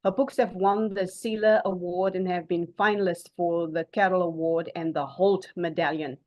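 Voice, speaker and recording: A woman hosting a panel in an informal way, often halting as she searches for words. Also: fairly low pitch, steady tone, muffled recording. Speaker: panel moderator.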